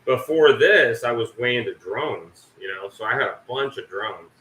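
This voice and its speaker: Bright-voiced rambling American-style host chat. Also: male voice, mid-range voice, expressive, normal speed, roomy sound, slight background noise, poor audio. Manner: rambling, self-mocking, swears casually. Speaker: livestream host. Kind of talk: casual chat.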